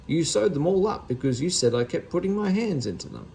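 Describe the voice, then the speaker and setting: A man's voice: a little high, very animated, a little fast, gravelly, darkish tone, slight background noise, pitched above the performer's own voice. A man voicing a muddled, anxious, childish nephew from a children's story, flustered and protesting.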